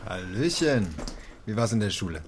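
A man's voice: on the low side, big pitch swings, normal speed, background noise. An actor playing a schoolboy's laid-back father with dry, casual humour in a children's radio play. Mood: dry joking.

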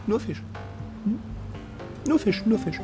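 A man playing a small, stubborn, childlike penguin gentleman in a children's radio play, insistent and pedantic, repeating himself. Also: high-pitched, lively intonation, slow. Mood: pleading. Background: music.

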